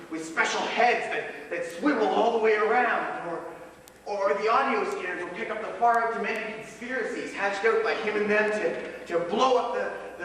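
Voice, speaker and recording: A man's voice: high voice, a bit bright. Actor as rambling druggie man, echoey distant stage. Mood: agitated, ranting. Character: rambling paranoid drug user, teasing and smitten man. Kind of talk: live stage play acting. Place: small theatre stage, recorded from a distance. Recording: echoey, noisy amateur theatre recording from afar.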